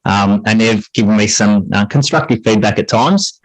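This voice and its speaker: A middle-aged man speaks as an interview guest in a fairly low voice. He explains things reflectively and conversationally, restating phrases to stress them. The sound is very dry, with hardly any room on it. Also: moderate pace, no room sound, rough recording. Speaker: interview guest, high school English teacher.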